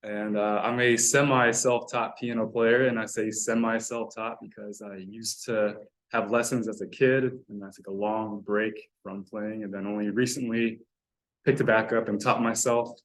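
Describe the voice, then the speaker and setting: A man's voice: lowish voice, steady tone, low-quality recording. Earnest young American man giving a speech. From United States. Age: 20s. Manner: earnest, measured, sincere delivery with occasional fillers. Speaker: club member giving a speech. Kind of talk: prepared ice-breaker speech.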